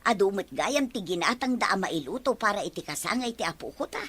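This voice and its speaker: A woman playing an older Ilocano grandmother in a family audio drama, gently advising and nagging her household. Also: medium pitch, expressive, fast talker, slightly rough. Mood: homely, busy. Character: older Ilocano grandmother, consoling mother, chiding wife.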